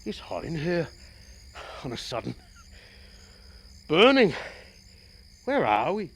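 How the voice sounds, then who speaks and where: An actor playing a bitter, sardonic and frightened passenger in a radio play, with a wry, theatrical manner. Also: male voice, on the high side, huge pitch swings, slow, breathy edge, full character voice.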